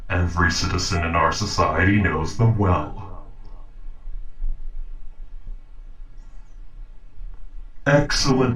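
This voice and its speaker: A man playing a calm, earnest young robot captor in an audio roleplay, speaking formally and precisely. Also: low-pitched, echoey room, far from the mic, poor audio. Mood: taken aback. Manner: formal, measured, polite, precise. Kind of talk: scripted audio roleplay drama.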